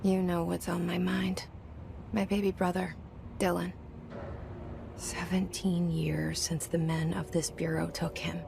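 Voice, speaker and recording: An actress playing a guarded, searching young woman in a video game, delivering a haunted inner monologue in a hushed, breathy, measured voice. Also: on the low side, flat delivery, slight background noise. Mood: confiding, intense. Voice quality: breathy, hushed, with creaky edges. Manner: slow, measured, brooding. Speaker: game protagonist. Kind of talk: introspective scripted narration in a video game. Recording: loud music under the voice. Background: music.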